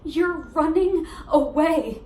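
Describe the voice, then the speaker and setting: A woman performing an amateur theater monologue as a heartbroken high school girl, insistent and emotional, with deliberate dramatic pauses. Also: darkish tone, echoey room. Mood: pleading, desperate.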